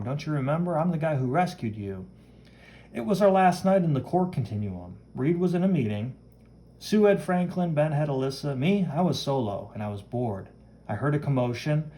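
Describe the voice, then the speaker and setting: Young comic-book hero read by a man: cocky, chivalrous, dramatic, animated delivery. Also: a little high, voice pushed up, lightly acted, low-quality recording. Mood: confident. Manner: brash, dramatic, read-aloud comic narration. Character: cocky, chivalrous young male superhero who wields flame.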